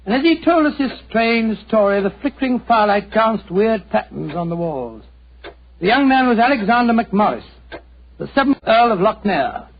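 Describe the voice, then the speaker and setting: A man's voice: high-pitched, big pitch swings, moderate pace, heavily acted. A man playing a genial old British retired doctor, telling stories in an avuncular, theatrical 1940s radio style. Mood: grave, dramatic. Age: older. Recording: noisy, muffled, crackly 1940s radio recording.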